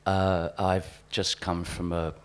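A man reading his observational pieces to a live audience in a wry, deadpan way. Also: low-pitched, takes it slowly, clear, resonant tone. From South East London, England. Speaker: writer and performer reading own pieces. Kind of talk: spoken-word reading of overheard snippets to a live audience.

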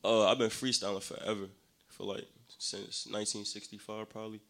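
A man's voice: fairly low pitch, little pitch movement, fairly quick, a bit bright. A young man from Nigeria, a rapper guest talking casually and cockily in an interview, full of slang. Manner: cocky, slangy, laughs easily.

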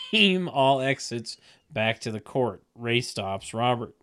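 A man's voice: mid-range voice, sing-song, slow pace, fairly bright tone. A man reading stage directions as scripted narration for a comedy table read, literal and tongue-in-cheek.

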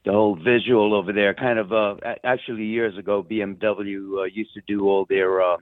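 A man's voice: fairly low pitch, fairly even intonation, a little fast, no echo. A man from the United States chatting casually as a musician guest on a remote line, informal, rambling and hesitant. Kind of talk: casual interview chat. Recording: narrow-band phone guest line.

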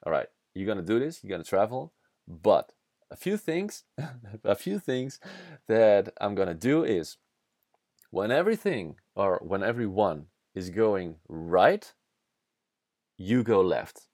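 A man's voice: lowish voice, sing-song, slow pace, pauses often, a little mellow, dry recording, studio quality. A young man with a Dutch accent telling a candid, casual story on his own podcast, swearing now and then and restarting sentences. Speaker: podcast host.

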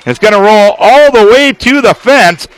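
A man doing live baseball play-by-play as a radio announcer, speaking in measured, clipped phrases with deliberate pauses. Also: high-pitched, lively intonation, clear, resonant tone, rough recording. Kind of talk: live sports play-by-play commentary.

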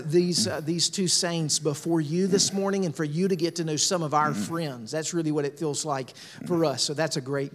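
A man's voice: on the high side, big-room sound. A man, a pastor, introducing a guest speaker and leading a spoken prayer in a church room, with earnest fillers. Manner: warm, earnest, many fillers.

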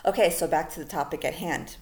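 A woman's voice: deep voice, fast, darkish tone. A woman hosting a solo podcast in a casual, chatty way, full of "you know" and "like", while she is losing her voice. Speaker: podcast host.

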